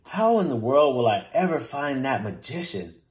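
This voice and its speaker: A man's voice: mid-range voice, sing-song, normal speed, a little mellow, close to an everyday voice, low-quality recording. A man playing an upbeat, easygoing storybook bear. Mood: worried. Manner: casual, upbeat, storybook dialogue. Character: friendly, easygoing talking bear, eager to help.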